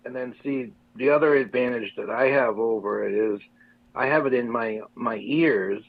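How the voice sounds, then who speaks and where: A man's voice: mid-range voice, normal speed, no echo. Man on a tinny call-in line. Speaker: regular caller. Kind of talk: casual chat. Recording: phone-like audio line.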